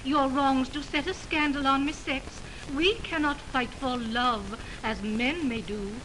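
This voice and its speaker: An actress playing a lovelorn young woman, pleading and declamatory, in 1920s radio Shakespeare. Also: sits high. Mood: ardent, defiant. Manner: pleading, declamatory, drawn-out delivery. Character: lovelorn young woman desperately pursuing her scornful beloved. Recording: noisy 1929 radio aircheck.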